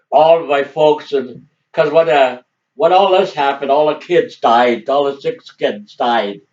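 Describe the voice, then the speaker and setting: A man's voice: mid-range voice, slow, a little mellow. An older man whose first language is Assiniboine, telling family history in a rambling, casual way and chuckling often. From Montana, US. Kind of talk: casual storytelling. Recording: dull distant home recording.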